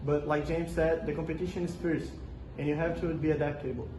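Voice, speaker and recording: A man's voice: fairly high pitch, little pitch movement, a little fast. A young man answering interview questions in a scripted school role-play, reading prepared answers steadily. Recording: echoey room with noticeable noise.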